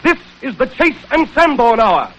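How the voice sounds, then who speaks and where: A man's voice: extremely high pitch, sing-song, moderate pace, a bit bright. A man making a projected, declamatory sponsor announcement on 1930s radio. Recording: muffled 1930s radio broadcast.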